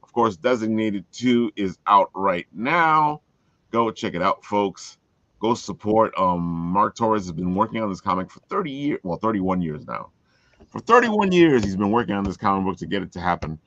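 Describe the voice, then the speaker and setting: Guy hosting a casual show, lively swings in pitch, folksy, repeats himself, dull lo-fi audio. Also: normal speed, no echo. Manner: folksy, emphatic repetition. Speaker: show host. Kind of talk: casual promotional livestream chat.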